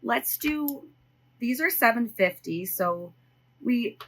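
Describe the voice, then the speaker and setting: A woman's voice: on the high side, expressive, slow. A middle-aged American woman chatting casually and unscripted to viewers as a budgeting vlogger, thinking aloud in her home office. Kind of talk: casual chat to viewers while counting cash.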